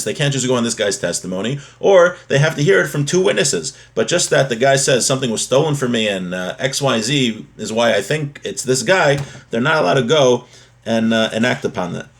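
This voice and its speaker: A man giving a religious lesson, reading from a text and explaining it, repeating and rephrasing his points as he goes. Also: medium pitch. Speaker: religious teacher giving a daily class.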